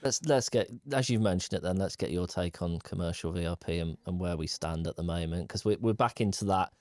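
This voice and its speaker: A male podcast co-host sharing measured, firm opinions in a conversational chat. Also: deep voice, a little mellow, no echo.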